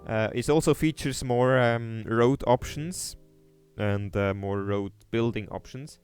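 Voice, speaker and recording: A man's voice: medium pitch, slow pace, darkish tone, clear, resonant tone, soft background music. A young man from Switzerland with a Swiss German accent, casually commenting on his city-building game during a livestream, hesitant, with lots of fillers and restarts while reading chat aloud.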